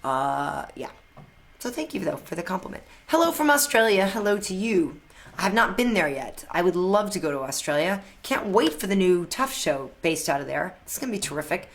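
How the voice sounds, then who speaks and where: A woman in her thirties with a somewhat low voice, hosting a live chat with her fans. She answers their questions off the cuff, eagerly, and often restarts her sentences and repeats words as she goes. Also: big pitch swings, moderate pace. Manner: chatty, enthusiastic, many restarts and repeated words; answers viewers' questions on the fly. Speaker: host answering fan questions.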